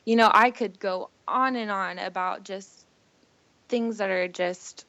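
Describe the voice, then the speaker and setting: A woman's voice: mid-range voice, measured pace, a bit bright, dry recording, lo-fi sound. A young American woman telling her personal story earnestly and from the heart as a podcast guest. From Costa Rica and Chile until six, then the US. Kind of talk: personal storytelling, casual conversation.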